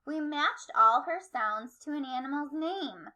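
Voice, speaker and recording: Bright, high-voiced woman reading a children's storybook. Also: animated, unhurried. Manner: rhythmic, sing-song rhyme. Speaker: storybook reader. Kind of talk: expressive children's read-aloud narration.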